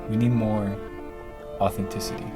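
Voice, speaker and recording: A man playing an aloof, blunt teenage graffiti artist with a deadpan, curt delivery. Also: fairly low pitch, moderate pace, loud music behind the voice, natural voice. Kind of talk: audio drama dialogue.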